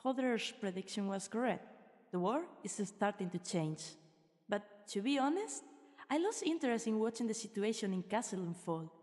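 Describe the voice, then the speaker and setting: A woman's voice: medium pitch, animated, moderate pace, a little mellow, no echo, clean studio sound, natural voice. A woman playing a brash, cocky, menacing young female mercenary in an amateur game fan dub, with a sardonic, teasing manner. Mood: brooding. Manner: sardonic, foul-mouthed, teasing.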